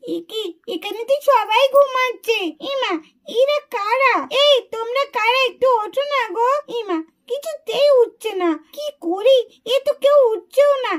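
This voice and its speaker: Very high, stubborn little girl. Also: unhurried. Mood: curious. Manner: whiny, insistent, repeats demands. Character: stubborn, pleading little village girl, curious and bossy. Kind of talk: children's puppet-show cartoon dialogue.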